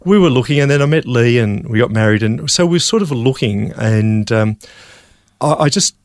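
An older man with an Australian accent, a scientist guest telling his personal story in an earnest, steady way with some restarts. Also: medium pitch, moderate pace, dry recording, studio quality. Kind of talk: personal testimony in conversation.

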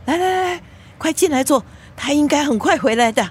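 An actress in a radio drama playing a nosy, excitable middle-aged mother with a Singaporean accent, probing and exclamatory. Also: high-pitched, brisk, a little hiss or hum. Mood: eager, welcoming.

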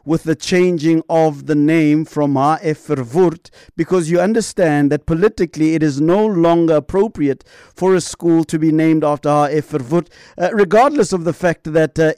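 A man's voice: a little high, darkish tone, dry recording, clean studio sound. A male radio host interviewing a guest, pressing his questions and breaking in with stammered restarts. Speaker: radio talk show host.

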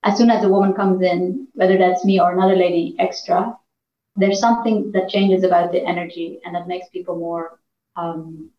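A woman's voice: fairly low pitch, steady tone, normal speed, slight background noise. A woman from Iran, a negotiation trainer and coach, giving earnest interview answers with frequent fillers and restarts. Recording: echoey, dull lo-fi room sound.

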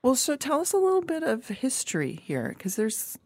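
A woman's voice: high-pitched, huge pitch swings, normal speed, darkish tone, no echo. A woman co-hosting a food podcast who casually prompts the guest with questions. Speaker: podcast co-host. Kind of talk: casual interview chat.

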